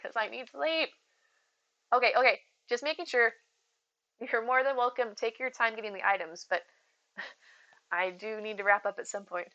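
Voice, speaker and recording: A woman with a soothing, bright voice chats to her viewers from a home streaming setup. She rambles loosely, giggles easily and calls people in her chat by name. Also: a little high, normal speed, long pauses, dull audio. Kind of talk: casual live-stream chat.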